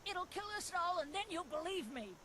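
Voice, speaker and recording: An old village mother in a fantasy video game. Her high voice is agitated and insistent, as if begging to be believed, set back amid background bustle. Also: lively intonation, a little fast, crowd noise. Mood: alarmed, insistent.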